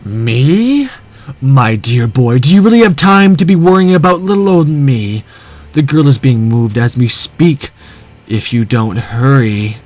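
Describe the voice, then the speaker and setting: A man voicing a callous older male yakuza villain in a visual novel, sneering and taunting with slow, drawn-out mockery. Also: mid-range voice, animated, a little mellow, soft background music, voice pushed down. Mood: cruel taunting.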